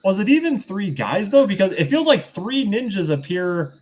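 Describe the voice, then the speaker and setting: A man's voice: sits high, big pitch swings, moderate pace. Man with slightly muffled sound, rambling recap. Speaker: podcast host recapping and reviewing a film. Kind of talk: casual review chat.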